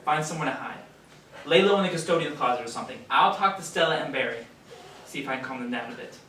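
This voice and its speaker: Placating male station employee, played by a stage actor: halting, sharp-toned, distant roomy recording with faint noise. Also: a little high, animated. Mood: soothing. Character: placating, fibbing male radio station underling. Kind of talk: live stage play acting. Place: indoor theater stage, picked up from afar.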